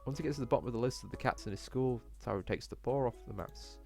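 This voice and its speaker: A man's voice: medium pitch, fast, creaky, mellow. A man reading a visual novel aloud as a let's player, stumbling a little over the text. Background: game music.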